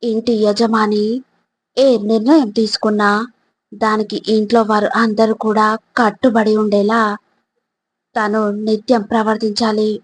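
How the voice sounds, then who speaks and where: A woman reading scripted motivational narration in a measured, instructive way, addressing the listener directly. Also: medium pitch, flat delivery.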